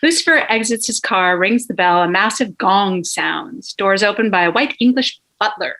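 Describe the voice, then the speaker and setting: A woman narrates a screenplay's stage directions in an informal, vivid storytelling manner. Her voice is bright and forward, at a medium pitch. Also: lively intonation, normal speed. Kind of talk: scripted narration.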